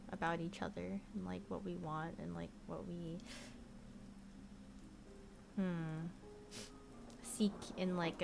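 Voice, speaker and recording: Rambling young woman streamer, soft trail-offs. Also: fairly low pitch, creaky, background noise. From Korea. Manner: rambling, full of "like" and "you know", trailing off softly. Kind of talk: casual chat with viewers. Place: bedroom at home.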